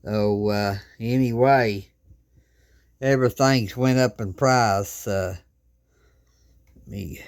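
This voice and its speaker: Slow-talking folksy ham radio man. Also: on the low side, clean tone. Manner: rambling, folksy, plain-spoken with many restarts. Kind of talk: casual show-and-tell of radio gear. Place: home radio desk, indoors.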